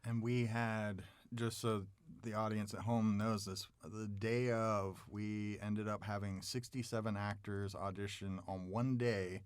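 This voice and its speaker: A man with a fairly low, clean and resonant voice hosts a relaxed studio interview. He sounds like a director talking shop, recorded dry and close to the microphone. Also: a little slow, smooth, dry recording. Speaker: interviewer, audio drama director.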